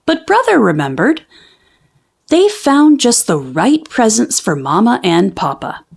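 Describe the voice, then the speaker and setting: High, animated, unhurried woman storyteller. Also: creaky, slightly rough. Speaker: children's book read-aloud narrator. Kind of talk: expressive storybook narration for young children.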